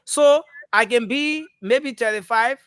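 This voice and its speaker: A man's voice: very high voice, takes it slowly, fairly bright tone, dry recording, studio quality. A man hosting a live call-in audio discussion. He repeats words and cuts in to steer the talk. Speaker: host.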